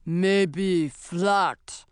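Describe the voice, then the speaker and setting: A woman voicing a big, dim male troll soldier in a fantasy audiobook, with blunt, broken grammar and drawn-out words. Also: lowish voice, smooth, no room sound, studio quality. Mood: defensive, suspicious.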